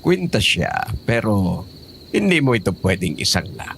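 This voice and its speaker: A man's voice: sits low, huge pitch swings, moderate pace, gravelly, a bit bright, a little hiss or hum, heavily acted. A man playing a teasing, protective old grandfather in an audio horror drama. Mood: grave, protective. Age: older. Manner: jokey, fond, cautioning.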